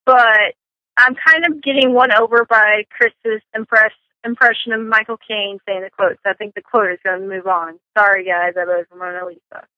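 A woman's voice: medium pitch, fairly even intonation, moderate pace. A woman on a podcast panel, flustered and apologetic as she decides. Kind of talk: casual panel chat. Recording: dull lo-fi audio.

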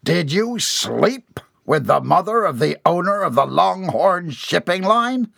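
A man voicing a stern old shipping boss in an audiobook, forceful and accusing in manner. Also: a little high, expressive, moderate pace, creaky, dry recording, clean studio sound, voice pushed up, heavily acted. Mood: furious. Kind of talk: dramatised audiobook dialogue.

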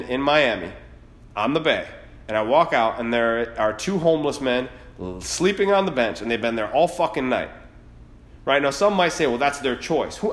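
A man in his late thirties hosting a podcast, giving a blunt, fired-up motivational monologue full of swearing. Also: mid-range voice. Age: 30s. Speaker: podcast host. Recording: roomy echo.

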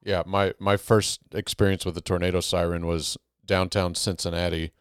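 A man from Texas with a very low voice asks laid-back, meandering questions. His sound is smooth and clean. The room is dry and close. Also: flat delivery, normal speed, no room sound. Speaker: podcast host. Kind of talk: casual interview conversation.

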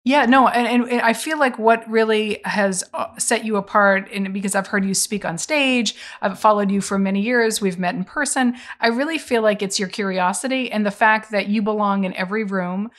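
A woman's voice: medium pitch, moderate pace, hardly any pauses, a bit bright. A woman interviewing a guest, affirming and frequently murmuring agreement. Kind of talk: interview conversation.

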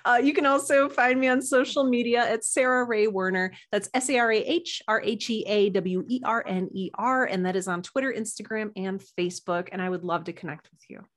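A woman's voice: fairly high pitch, fairly quick, few pauses. An American woman chatting casually as a podcast guest, friendly and self-deprecating. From United States. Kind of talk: casual chat.

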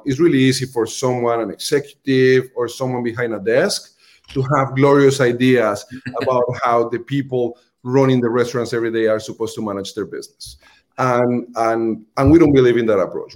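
A man with a Colombian accent, a restaurant marketing executive, explaining earnestly as an interview guest, with frequent fillers and repeated words. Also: medium pitch.

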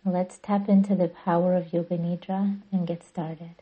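A woman guiding a meditation as a podcast host, speaking gently and deliberately in a soothing, breathy voice. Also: lowish voice, little pitch movement, dull audio. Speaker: yoga nidra guide and podcast host. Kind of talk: guided meditation narration.

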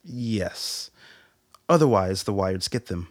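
An actor playing a laconic army major in an audio drama, speaking in a measured, understated way. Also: male voice, fairly low pitch, animated, no room sound, clean studio sound. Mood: musing, measured. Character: composed, laconic army major, the colonel's aide.

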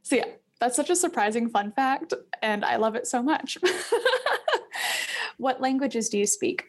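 A woman's voice: on the high side, a little slow. A woman hosting a business podcast, bubbly and laughing often between questions. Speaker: podcast host. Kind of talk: podcast interview.

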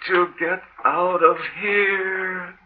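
A man playing a dazed walking corpse who chants haltingly and repetitively in a vintage radio horror drama. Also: sits high, level tone. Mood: dazed, eerie. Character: dazed male walking corpse, mindlessly repeating. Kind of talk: 1940s radio horror acting. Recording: thin, phone-like old radio recording.

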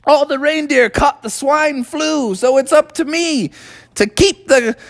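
A man's voice: very high voice, measured pace, pitched above the performer's own voice, heavily acted. A man voicing a plucky, cheerful young boy frog in a children's story, earnest and eager. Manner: earnest, calling out brightly.

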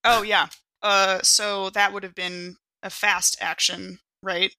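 A woman's voice: mid-range voice, level tone, slow, pushed and bright, no echo. A woman playing a tabletop role-playing game, chatting informally and thinking aloud as she improvises.

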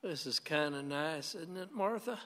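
A tired older husband in a radio play. The voice is placid and a touch high. It echoes through a long hall reverb. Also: male voice, expressive, a little slow, fairly bright tone.

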